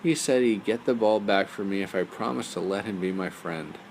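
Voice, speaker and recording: A man's voice: low-pitched, moderate pace, slight background noise, poor audio. A man voicing an eager, anxious young princess, a spoiled youngest daughter in a fairy tale. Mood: upset explaining.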